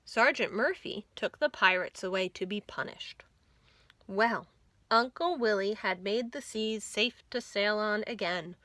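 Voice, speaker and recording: A woman reading a picture book aloud for young children, expressive and deliberate, with dramatic emphasis. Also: medium pitch, no echo. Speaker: children's librarian reading storytime.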